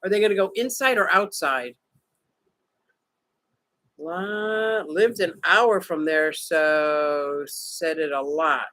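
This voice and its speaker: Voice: medium pitch, slow, bright, forceful tone, dry recording. A livestream host chatting casually with viewers from a vacation room, joking around and greeting people by name. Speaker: livestream host reading chat. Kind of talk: casual live chat.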